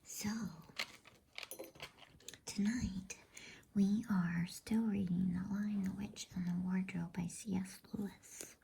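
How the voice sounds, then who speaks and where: A woman reading a bedtime story as ASMR in a hushed, soothing, unhurried whisper. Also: medium pitch, fairly even intonation, creaky, a little hiss or hum.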